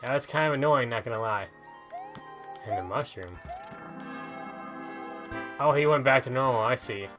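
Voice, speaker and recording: A man's voice: mid-range voice, moderate pace, pauses often. Man chatting over game music. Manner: chatty, thinking aloud while playing. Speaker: gaming video host. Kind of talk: casual gameplay commentary. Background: video game music.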